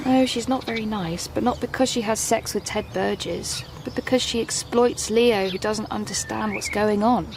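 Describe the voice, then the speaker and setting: Woman as patient frank mother, medium pitch, radio drama, noisy outdoor ambience with birdsong. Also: moderate pace, hardly any pauses, a little mellow, lightly acted. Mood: patient, firm. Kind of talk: naturalistic radio drama acting.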